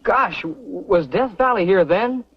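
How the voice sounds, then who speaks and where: A man's voice: high voice, animated, measured pace, breathy edge, full character voice. A man playing an earnest, shy young rock collector in an old western drama, speaking with boyish sincerity. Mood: eager, awed. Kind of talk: mid-century western drama acting. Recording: noisy, muffled vintage TV soundtrack.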